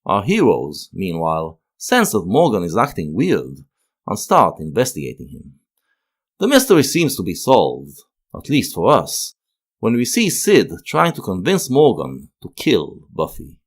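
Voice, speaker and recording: A man delivers scripted, analytical narration. His voice sits fairly low, and his intonation swings widely as he goes. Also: wildly swooping pitch, unhurried. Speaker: video essay narrator.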